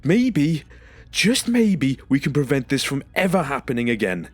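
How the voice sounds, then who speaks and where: A man's voice: medium pitch, very animated, a little slow, no room sound, soft background music. A man playing a traumatised, confrontational former stasis patient in an audio drama, speaking emphatically and demanding accountability. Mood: resolute.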